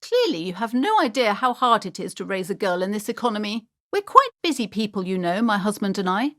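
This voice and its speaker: A woman's voice: medium pitch, big pitch swings, fairly quick, a bit bright. An actress playing a fussy, superstitious middle-aged woman who runs a bookshop in a video game, prim and haughty, with dramatic emphasis. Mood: indignant. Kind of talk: theatrical video game character acting.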